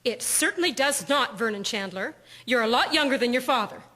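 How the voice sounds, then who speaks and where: A woman playing a brisk, fretful 1920s housewife and mother in an audio drama. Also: a little high, animated, sharp tone. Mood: bossy. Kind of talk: scripted audio drama acting. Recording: clear hiss behind the voice.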